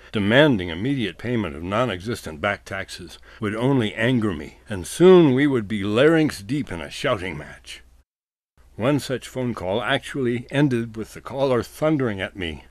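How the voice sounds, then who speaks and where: A man's voice: on the low side, animated, normal speed, no room sound. A man narrating a scripted humorous essay in a wry, literate storytelling manner.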